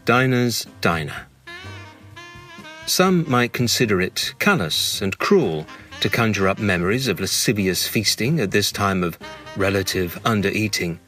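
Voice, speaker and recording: A British man with a slightly low voice narrates a comic memoir. He is wry and mock-grandiose, relishing every ornate phrase, with music playing behind him. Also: expressive, unhurried, fairly bright tone. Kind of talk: scripted humorous memoir narration.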